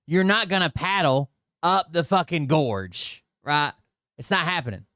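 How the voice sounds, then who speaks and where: A man's voice: a little high, big pitch swings, a little slow, sharp tone, no room sound, studio quality. A man giving casual, blunt and profane commentary during a live stream.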